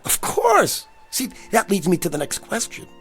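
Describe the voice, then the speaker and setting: An actor playing a brash, rattled, sarcastic man in a radio drama, with a gravelly voice and stammering wisecracks. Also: sits high, wildly swooping pitch, normal speed, fairly bright tone, soft background music, full character voice. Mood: incredulous. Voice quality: gravelly, creaky. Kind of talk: naturalistic radio drama acting.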